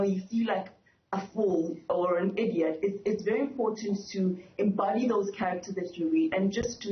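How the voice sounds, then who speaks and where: Female educator guest, mid pitch, earnest and explanatory, echoey lo-fi link. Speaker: author and educator, interview guest. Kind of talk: explanatory live news interview. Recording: dull, echoey lo-fi remote link.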